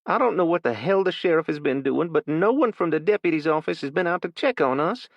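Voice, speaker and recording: An older farm woman, bitter and shaken, speaking out angrily, as a male narrator puts her on. Her voice runs high and loud. The sound is lo-fi and dry. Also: animated, fairly quick, no room sound. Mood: angry, bitter. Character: older farm woman, frightened but angry.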